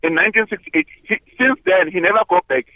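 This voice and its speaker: Hesitant male caller, high animated voice, dry phone-line sound. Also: quick pace, vocal fry, no echo. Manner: hesitant, searching for words. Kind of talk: call-in radio talk.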